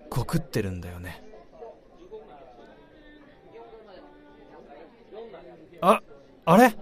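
A man playing an excitable, easily embarrassed young drinking buddy in a radio drama, with a whiny, exclamatory manner. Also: mid-range voice. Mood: hesitant confession.

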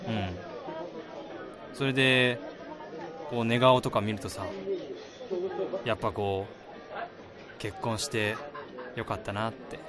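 A man's voice: lowish voice, steady tone, slow pace, long pauses. A man acting in a radio drama as an easygoing married friend of a groom, around thirty, casual and bantering. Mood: reflective, sheepish. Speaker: lead actor and narrator. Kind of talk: radio drama acting with first-person narration. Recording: busy crowd noise behind the voice.

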